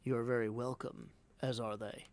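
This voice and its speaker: A man voicing a gruff, folksy mortal man from a video game, plain-spoken and kindly. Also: mid-range voice, flat delivery, moderate pace, a little mellow, dry recording, pitched below the performer's own voice, natural voice.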